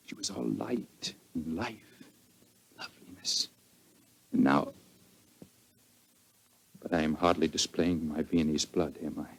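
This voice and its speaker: An actor playing a proud, passionate Viennese count, formal and melodramatic in 1940s radio style. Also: male voice, sits low, slightly rough, heavily acted, rough recording.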